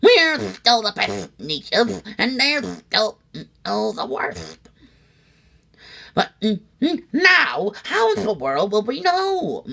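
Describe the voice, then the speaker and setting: Piercing, spluttering, snooty cartoon duck. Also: male voice, high voice, wildly swooping pitch, slow, gravelly, bright, forceful tone, pitched above the performer's own voice, exaggerated voice. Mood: indignant, flustered. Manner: indignant, haughty, exclamatory. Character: snooty, excitable cartoon duck creature, male, squabbling.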